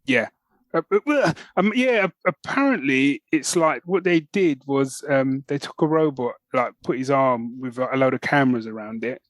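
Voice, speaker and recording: A man's voice: mid-range voice, normal speed, dry recording. A man, co-hosting a podcast with rambling, colloquial, casual chat about the news. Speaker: podcast co-host.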